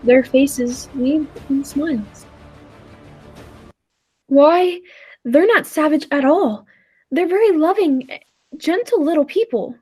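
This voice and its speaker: A high, soft-edged and mellow voice in a roomy space with faint music. A woman acts a gentle, contented time traveler in an old-style radio play, speaking with measured wistfulness. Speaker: amateur drama club actor. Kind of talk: 1940s radio play acting with narration.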